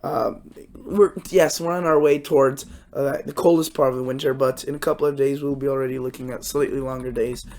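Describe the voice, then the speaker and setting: Raspy-voiced man with a cold. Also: mid-range voice, fairly even intonation, fairly quick, a little mellow. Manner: rambling, many fillers and restarts. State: hoarse from a cold. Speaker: weather channel host. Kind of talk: casual forecast talk.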